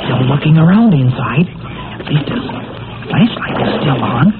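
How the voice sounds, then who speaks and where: An actor playing an excitable, wisecracking teenage boy sidekick in a 1940s American radio serial, with a folksy American manner of speech. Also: a little high, a little slow, loud music behind the voice. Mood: alert. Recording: very noisy, tinny old radio recording.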